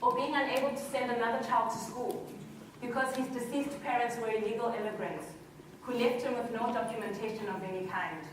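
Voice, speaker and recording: A woman's voice: a little high, steady tone, brisk. A woman delivering a prepared personal speech in an earnest, formal manner. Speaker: conference speaker, community development worker. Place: large echoey hall. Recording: very echoey hall, distant mic, faint noise.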